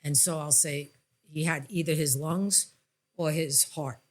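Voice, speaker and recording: A woman's voice: deep voice, fairly even intonation, unhurried. A woman hosting a podcast as a psychic medium, talking candidly and emphatically in plain, casual words with some swearing. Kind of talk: candid conversational podcast talk.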